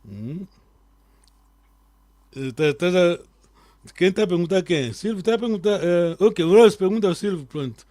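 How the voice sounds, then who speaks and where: A man teaching a live online language lesson, quizzing his students and repeating key words slowly so they stick. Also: high voice, animated, warm tone, no room sound.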